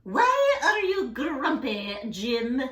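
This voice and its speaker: A woman's voice: sits high, huge pitch swings, very slow, fairly bright tone, roomy sound, heavily acted. A woman voicing an upbeat, concerned marabou bird in a storybook, lilting and drawing out the words. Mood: cheery concern.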